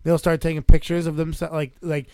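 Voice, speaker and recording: A man's voice: on the high side, little pitch movement, fairly quick, a little mellow, clean tone, dry recording. A young man co-hosting a crude comedy radio show, reacting to TV clips with disbelief and disgust. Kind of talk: casual crude banter.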